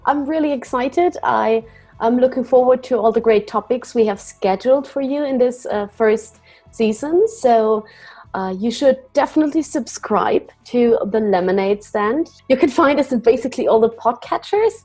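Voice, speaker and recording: Austrian woman co-hosting, mellow and high. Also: moderate pace, soft background music. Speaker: podcast co-host. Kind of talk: scripted podcast teaser.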